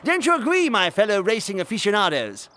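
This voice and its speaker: An actor playing a pompous, effusive muscle car racer in a video game, speaking in a florid, theatrical manner. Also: male voice, high-pitched, huge pitch swings, fairly quick, pushed and bright, full character voice. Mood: grandly enthusiastic. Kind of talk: animated video game acting.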